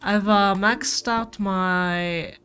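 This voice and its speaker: A woman's voice: mid-range voice, fairly even intonation, extremely slow, fairly bright tone, slight background noise. A woman with a cold, rambling casually as she plays a farming game and talks to her viewers. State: sick with a cold. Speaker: gameplay streamer. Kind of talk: casual gameplay commentary. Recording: faint game music behind.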